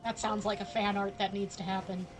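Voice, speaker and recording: A woman's voice: medium pitch, little pitch movement, fairly quick, over music, low-quality recording. A woman game streamer chatting casually and playfully, tossing teasing asides at the game as she plays. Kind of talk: casual live-stream chat while gaming.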